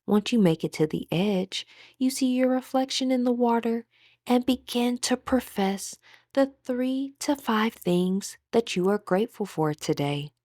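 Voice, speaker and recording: A woman guiding a meditation in a soothing, deliberate manner with gentle pauses. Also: fairly high pitch, expressive, no echo, clean studio sound. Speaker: meditation guide. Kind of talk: guided meditation narration.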